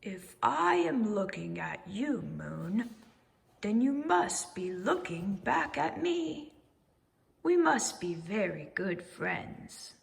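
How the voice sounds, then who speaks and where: A woman voicing a friendly, simple-hearted male owl from a storybook, speaking earnestly and deliberately. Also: medium pitch, sing-song, vocal fry, big-room sound, heavily acted. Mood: fond.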